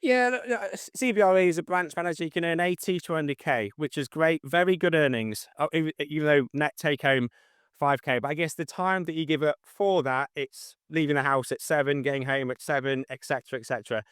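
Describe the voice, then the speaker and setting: A middle-aged man giving a casual interview as a guest, slightly monotone, speaking matter-of-factly in clipped phrases. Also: on the high side, a little fast, clean tone, dry recording, studio quality. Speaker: interview guest, estate agent.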